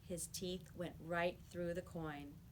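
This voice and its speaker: A woman's voice: lowish voice, steady tone, slow pace, a little hiss or hum. A woman reading a children's story aloud like a teacher, with emphatic, dramatic storytelling.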